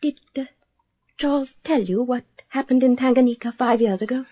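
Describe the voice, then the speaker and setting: An actress playing a gentle, anxious wife in a radio drama, earnest and pleading, with a breathy voice. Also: female voice, sits high, normal speed. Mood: concerned. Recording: dull radio recording.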